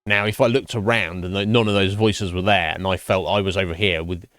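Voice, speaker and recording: Low-voiced man musing on stream. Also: fairly even intonation, fairly bright tone, no room sound, clean studio sound. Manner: thoughtful, halting, talks his answers through slowly. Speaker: Twitch streamer. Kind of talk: casual live-stream chat with viewers.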